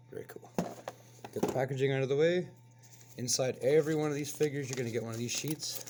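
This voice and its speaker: A man with a hoarse voice from a cold, hosting a casual toy review and chatting as he unboxes. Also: on the low side, background noise. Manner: relaxed, chatty, explains as he handles the toy. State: hoarse, getting over a cold. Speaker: toy reviewer, channel host.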